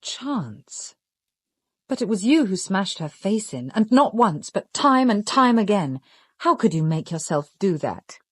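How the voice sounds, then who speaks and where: A woman's voice: mid-range voice, sing-song, a little slow, slightly rough, no echo, clean studio sound. Earnest, probing woman. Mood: appalled, earnest. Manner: direct, questioning, controlled. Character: earnest, probing young Englishwoman confronting a suspect. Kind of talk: audio drama acting.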